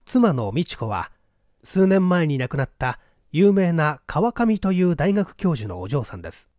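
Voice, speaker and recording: Guy narrating a mystery audiobook, polite n measured, really varied intonation, very dry close sound. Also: on the high side, very animated, a little mellow, no echo. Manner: measured, polite storytelling delivery. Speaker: audiobook reader. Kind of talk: dramatic literary narration of a mystery story.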